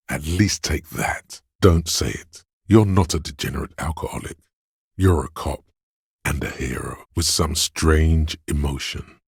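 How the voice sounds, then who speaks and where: A man voicing a grim, goading inner voice of pain and endurance in a video game, narrating with slow, weighty, intense delivery and a husky, gravelly tone. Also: a bass voice, no echo, clean studio sound, full character voice. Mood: goading, stern.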